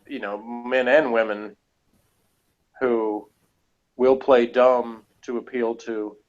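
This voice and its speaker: A man chatting casually as a reviewer, talking in a rambling, halting way. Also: mid-range voice, fairly even intonation. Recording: dull lo-fi audio.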